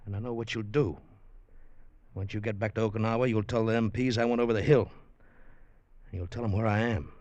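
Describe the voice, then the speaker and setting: A man acting a tall, embittered, unstable Marine in 1940s radio style, with rough, slangy, hesitant soldier talk. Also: fairly low pitch, steady tone, brisk, pauses often, darkish tone, no echo, lo-fi sound, natural voice. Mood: menacing. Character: tall embittered Marine gone half-crazy, threatening yet lovesick. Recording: old-time radio broadcast recording.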